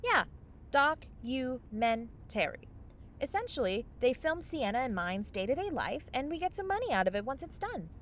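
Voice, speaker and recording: A woman's voice: high voice, very animated, moderate pace, slight background noise. A woman playing a sarcastic, exasperated young fairy in a mockumentary-style comedy audio drama, with dramatic, sardonic delivery. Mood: strained, polite.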